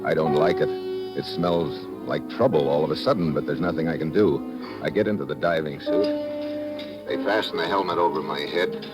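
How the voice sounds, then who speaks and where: Very deep-voiced hard-boiled radio adventurer. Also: male voice, normal speed. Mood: grim narration. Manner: terse, hard-boiled, colloquial. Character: tough, wary man working undercover as a sailor-diver. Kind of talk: vintage radio drama acting with first-person narration. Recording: vintage radio recording with background music.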